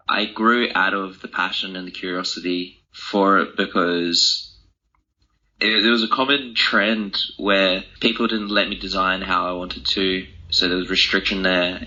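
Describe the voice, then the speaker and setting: A young man gives casual interview answers, thinking aloud as he goes. His voice is low and raspy with a very bright, forceful edge. It is conversational throughout and informal in wording. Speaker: interview guest, author and speaker. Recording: dull, lo-fi audio.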